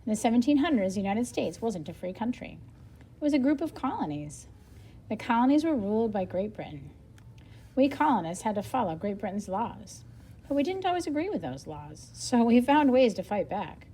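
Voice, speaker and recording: A woman reading a nonfiction book aloud as a lesson for children, expressively, pausing to explain things simply and think out loud. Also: a little high, big pitch swings, a little hiss or hum.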